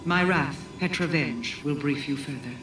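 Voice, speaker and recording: A woman playing a solemn, imperious otherworldly queen in a video game, speaking in a measured, formal way. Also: deep voice. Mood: grave, resolute. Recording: echoey, distant, over game music.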